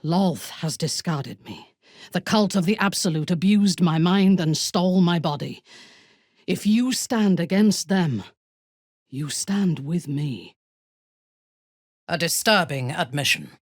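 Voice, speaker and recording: A woman playing an imperious, ruthless dark elf warrior in a video game, haughty and commanding, with a husky, breathy delivery. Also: fairly low pitch, animated, no room sound, studio quality. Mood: stern, intense. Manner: haughty, commanding, measured, deliberate.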